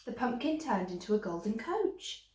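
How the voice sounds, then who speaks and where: A woman's voice: a little high, very animated, moderate pace, a little mellow. A woman storyteller reading a children's tale expressively, like a teacher to young listeners. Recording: echoey room.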